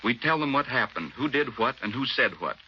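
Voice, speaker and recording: A man's voice: mid-range voice, animated, fairly quick. An American man, an army officer, giving clipped, matter-of-fact scripted answers in a 1940s radio interview. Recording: muffled 1940s radio, faint noise.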